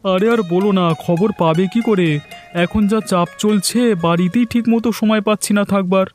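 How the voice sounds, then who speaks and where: A man's voice: sits high, steady tone, normal speed, a little mellow, soft background music, natural voice. A man voicing an earnest, worried young village farmer in a cartoon. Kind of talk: cartoon voice acting.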